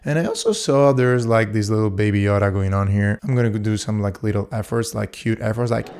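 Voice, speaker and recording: Male tutorial presenter, lowish clean voice, casual, playful, thinks aloud as a sound designer at work. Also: fairly quick, a little mellow, clear, resonant tone. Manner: playful, informal, thinking aloud while working. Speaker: sound designer demonstrating a voice plugin.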